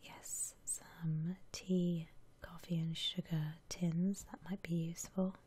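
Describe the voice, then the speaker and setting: A woman whispering softly and breathily in a gentle, musing way as she gives ASMR commentary on a game. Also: on the low side, little pitch movement, slight background noise. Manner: gentle, unhurried, musing aloud. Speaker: ASMR streamer playing a house-decorating game.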